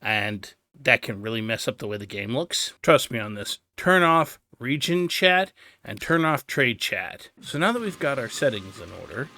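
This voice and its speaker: A man talks through a video game tutorial in a bright, forward voice. He explains step by step and leans on the important advice. The sound is close and very dry. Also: medium pitch, big pitch swings, normal speed, no echo. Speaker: gaming video host.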